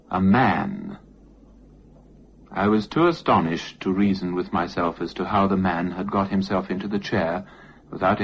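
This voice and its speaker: Theatrical male storyteller with a sweeping, animated delivery. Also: on the low side. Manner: theatrical, emphatic with deliberate pauses. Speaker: story narrator. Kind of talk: dramatic first-person ghost story narration. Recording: dull lo-fi recording with faint noise.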